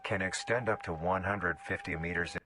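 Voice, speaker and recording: A man's voice: low voice, fairly quick, sharp tone. A man reading scripted documentary-style narration for a horror video in a formal, clinical way.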